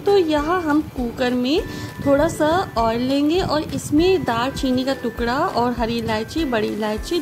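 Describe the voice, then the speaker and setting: A woman host giving a friendly step-by-step recipe voice-over, repeating emphatic praise for the dish. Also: sits high. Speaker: cooking channel host. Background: background music.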